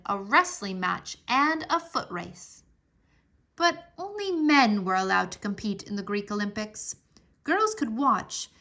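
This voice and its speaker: Woman doing a kids read-aloud, highish bright voice, expressive and emphatic storytelling. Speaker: storybook narrator, English teacher.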